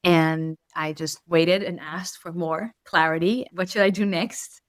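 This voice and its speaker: A woman from Israel, a native Hebrew speaker with an Israeli accent, speaking earnestly as a coach in an interview, slowing to stress key points. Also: fairly low pitch, steady tone, dry recording, studio quality. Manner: earnest, measured, slows for emphasis. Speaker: coach, podcast guest. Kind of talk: reflective interview talk. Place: home in Berlin.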